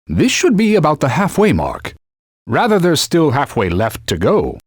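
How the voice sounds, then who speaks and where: A haughty talking sacred sword. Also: male voice, on the high side, huge pitch swings, moderate pace, breathy edge, no echo, studio quality, exaggerated voice. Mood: instructive. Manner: imperious, scolding, archaic knightly phrasing. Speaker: game character. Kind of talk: video game voice lines.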